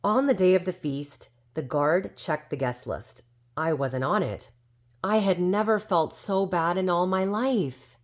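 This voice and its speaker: A woman's voice: on the low side, measured pace, pitched above the performer's own voice, natural voice. A woman voicing a very old, indignant but well-meaning fairy who tells her own storybook tale defensively and with self-assurance. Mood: hurt, earnest. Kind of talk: storybook character narration.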